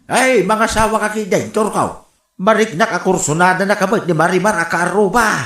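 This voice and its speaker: A man's voice: high-pitched, moderate pace, roomy sound. A man with an Ilocano accent playing an exasperated father who scolds and teases his son in a radio comedy drama. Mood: exasperated, scolding. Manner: scolding, teasing, animated.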